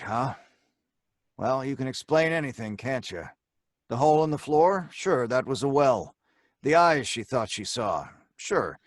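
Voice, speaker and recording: A man's voice: mid-range voice, no echo, studio quality, close to an everyday voice. An actor playing a wry, stubborn crook in an old-time radio drama, dismissive and telling his story in the first person. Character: hard-boiled, sardonic male crook who narrates his predicament.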